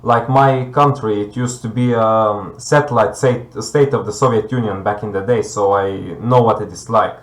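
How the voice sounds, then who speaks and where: Halting man in an echoey room. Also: on the low side, steady tone. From Bulgaria. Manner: halting, searching for words. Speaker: debate guest. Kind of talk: conversational debate.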